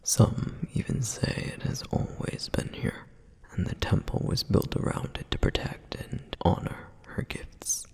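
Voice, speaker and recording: A man whispering as a gentle flame demon. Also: a little slow, natural voice. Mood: wistful whisper. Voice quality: soft whispered delivery. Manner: wistful, reflective storytelling. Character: dutiful protective male flame demon, gentle. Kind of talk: ASMR roleplay.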